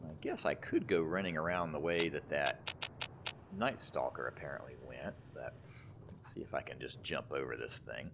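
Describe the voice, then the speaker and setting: A man's voice: extremely low pitch, moderate pace, vocal fry, noisy background. A man giving casual, rambling commentary as he plays a video game, thinking aloud. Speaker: gaming video host.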